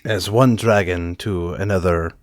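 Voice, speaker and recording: A man's voice: deep voice, fairly even intonation, unhurried, no echo, clean studio sound. Man playing a melodramatic, lovesick young nobleman. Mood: dramatic, wounded. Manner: grandiose, courtly, melodramatic. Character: lovesick theatrical young nobleman posing as a humble dragon.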